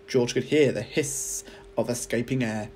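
A man reading a children's story aloud like a teacher, with expressive narration. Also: medium pitch, measured pace, slight background noise.

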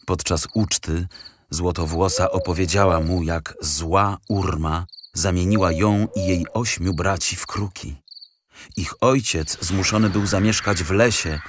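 A man narrating a fairy tale from a script in an expressive, dramatic storytelling manner. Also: low voice, unhurried, background noise. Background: music.

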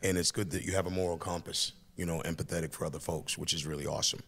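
A man's voice: low voice, steady tone, fast, fairly bright tone, slightly rough. An older man, a teacher hosting a studio podcast, interviewing a student with reflective, encouraging remarks in long flowing sentences. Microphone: boom-arm studio mic.

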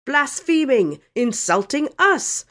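A woman voicing a blustering, scornful older uncle in a storybook, with a gruff, snorting, emphatic delivery. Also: high-pitched, very animated, slow pace, a bit bright, pitched above the performer's own voice, exaggerated voice. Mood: angry, blustering. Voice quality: gruff, growling.

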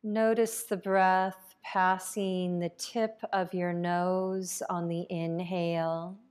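Woman, meditation guide, extremely slow pace, even and flat intonation, calm delivery, long pauses. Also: lowish voice, clean tone. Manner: calm, unhurried, with long pauses between phrases. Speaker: yoga nidra teacher.

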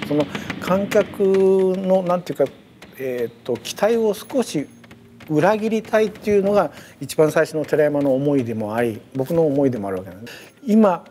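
A man speaking reflectively in an interview as a performer, pausing often to search for words. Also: a little high, animated, warm tone, a little hiss or hum. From Japan. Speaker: performer and artist's collaborator. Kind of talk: reflective interview in short phrases.

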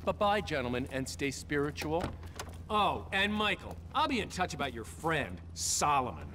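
An actor playing a smug, theatrical rich boss in a video game cutscene, mocking, flamboyant and foul-mouthed. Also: male voice, a little high, big pitch swings, moderate pace, sharp tone, slight background noise. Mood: breezy, dismissive.

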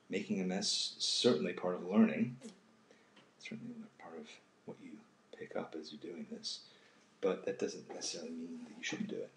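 A man in his sixties hosting a casual live drawing session, speaking haltingly and thoughtfully and often trailing off into a murmur. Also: sits low, a little mellow. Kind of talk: unscripted casual talk while drawing. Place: home kitchen, indoors. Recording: roomy echo, faint background noise.

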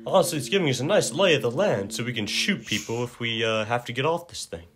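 A man playing a laid-back, overconfident rebel adventurer in an improvised game fandub, with a casual, hesitant, deadpan delivery. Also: medium pitch, fast, lightly acted. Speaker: amateur fan-dub voice actor.

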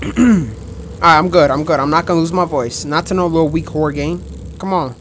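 A young man commentating a horror game in a loud, excitable, trash-talking way, his voice going hoarse, recorded on a headset mic at a home setup. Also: on the high side, moderate pace, slight background noise. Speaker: gaming streamer.

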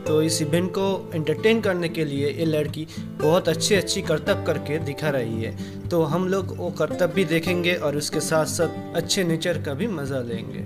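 A man's voice: a little high, moderate pace, loud music behind the voice. A man giving casual narration for a travel vlog as its host.

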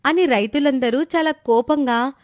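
High-pitched woman narrating a moral tale. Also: a little slow, no echo, studio quality. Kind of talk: scripted moral storytelling narration.

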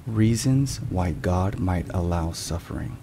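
A man narrating scripted religious ASMR in a deliberate, measured way. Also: low-pitched, creaky, noisy background. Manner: slow, deliberate, measured phrasing. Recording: steady rain and thunderstorm sounds behind. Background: rain and thunder.

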